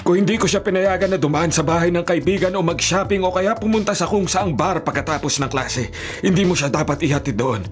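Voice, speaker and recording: A man's voice: high voice, a little fast, lightly acted. An actor playing a strict middle-aged mayor and protective father, speaking firmly and with authority in a radio drama. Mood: stern. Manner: firm, authoritative. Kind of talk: Filipino radio drama acting. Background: background music.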